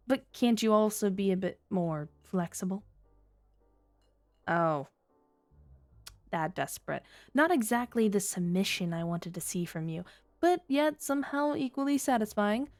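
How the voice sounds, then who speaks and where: Scheming female underworld informant for ASMR drama, played by a woman: mid-pitched, coy, teasing, mock-sweet, music underneath. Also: a little mellow, natural voice. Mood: smug, flirty. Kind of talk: flirty ASMR roleplay audio drama.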